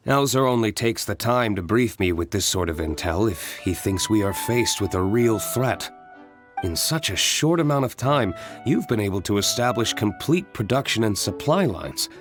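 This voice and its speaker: Man as young male tycoon, anime game character, lowish voice, composed, formal, measured, shrewd, music underneath. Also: lightly acted. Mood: dryly amused.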